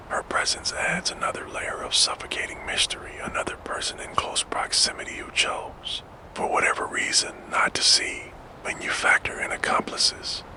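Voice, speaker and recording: A man telling a true crime story for sleep in a fully unvoiced ASMR whisper, with slow, suspenseful, dramatic phrasing. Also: rough recording. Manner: fully unvoiced whisper throughout, soft and deliberate. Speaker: narrator.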